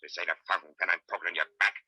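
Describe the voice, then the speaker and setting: Low, husky gangster. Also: male voice, fairly even intonation, racing, pushed and bright, no room sound, full character voice. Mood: menacing. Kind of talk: 1940s radio villain acting. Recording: old 1940s radio recording.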